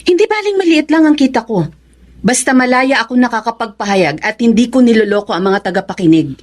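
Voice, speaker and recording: A woman's voice: a little high, animated, a little fast, darkish tone. An actress playing a principled, steadfast woman broadcaster in a Filipino radio drama, speaking earnestly and firmly.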